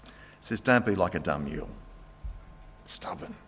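A man's voice: sits low, lively intonation, moderate pace, a bit bright, a little hiss or hum. Male preacher with an echoing hall sound. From Greek heritage. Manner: impassioned preaching, rising emphatic calls to act now. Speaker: pastor. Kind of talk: sermon. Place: church sanctuary. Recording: long reverb of a large hall.

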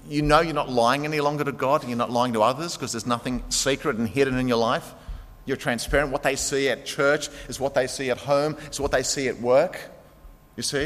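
A man's voice: medium pitch, a bit bright. A male pastor preaching a sermon in a church, emphatic and urging his listeners to act now. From Greek heritage. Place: church sanctuary. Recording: long reverb of a large hall.

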